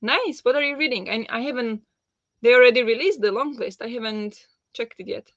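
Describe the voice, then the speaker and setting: A woman chats easily on a book live stream, answering viewers with plenty of fillers and little laughs. Her voice sits at a middling pitch. The sound is dry and a bit dull, like a plain home setup. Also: a little fast, no room sound. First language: Slovak. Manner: chatty, warm replies to viewers with frequent fillers and laughs. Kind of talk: live-stream chat reading out comments.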